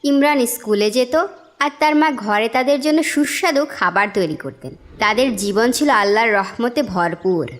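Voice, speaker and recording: A woman's voice: on the high side, normal speed. A woman narrator reading a scripted story for a cartoon in a storytelling style. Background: music.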